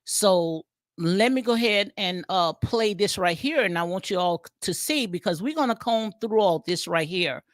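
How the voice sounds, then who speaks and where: A Southern woman talks through a reality show on a home livestream, circling back with lots of fillers and repeated phrases. Her voice sits at a middling pitch. The sound is dry and close. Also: animated, normal speed, dry recording. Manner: rambling, repetitive, frequent fillers and restarts. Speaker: commentary vlogger. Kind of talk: casual reality-TV commentary livestream.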